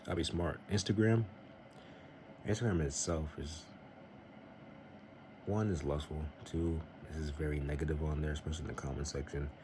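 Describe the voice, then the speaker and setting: A man's voice: fairly even intonation, normal speed, pauses often. A young man vlogging in a casual, earnest and somewhat rambling way, giving faith-based advice. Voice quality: very deep voice. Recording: noisy home recording.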